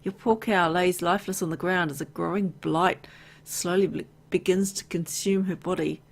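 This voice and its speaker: A woman with a fairly low voice and plenty of vocal fry narrates a story game. She reads the text aloud like a storyteller, then slips into casual, playful remarks of her own as a player. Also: steady tone, moderate pace. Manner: reacts playfully to the story with exclamations and asides. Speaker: video game let's player.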